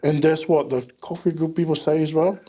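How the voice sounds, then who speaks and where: A man from Samoa, speaking as a guest in a relaxed, plain-spoken interview chat. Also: fairly high pitch, a little fast, breathy edge. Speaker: events and marketing manager, guest.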